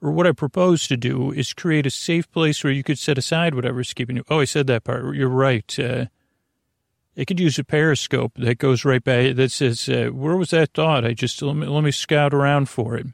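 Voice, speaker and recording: A man hosting a bedtime podcast in a creaky voice, meandering hesitantly and often repeating and correcting himself. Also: mid-range voice, fairly even intonation, moderate pace, no echo, clean studio sound. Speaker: podcast host. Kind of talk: rambling bedtime sleep podcast monologue.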